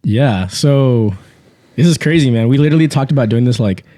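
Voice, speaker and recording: A man's voice: medium pitch, a little fast, no echo. A man in his twenties co-hosting a casual faith podcast, talking easily with fillers and restarts. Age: 20s. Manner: relaxed, frequent fillers and restarts, laughs easily. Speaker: podcast co-host. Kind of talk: casual chat. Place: small home podcast setup. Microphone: studio podcast mic.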